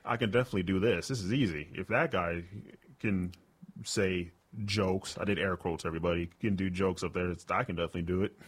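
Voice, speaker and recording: A young man with a notably deep, low voice answers interview questions in a loose, rambling way. He stacks up fillers and restarts as he talks about stand-up comedy. He speaks as a comedian and radio host in a casual chat. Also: fairly even intonation, fairly quick, husky. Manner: rambling, many fillers like "like" and "you know".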